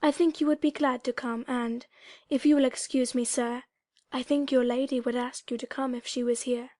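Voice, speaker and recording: A humble, motherly countrywoman, as a woman reader puts her on, speaking in a higher, lifted voice. She is earnest and plain-spoken, respectful in every word. It is a storybook reading, and she sounds a little back from the mic. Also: flat delivery, normal speed, darkish tone, no room sound, clean studio sound, close to an everyday voice. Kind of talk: audiobook dramatic reading.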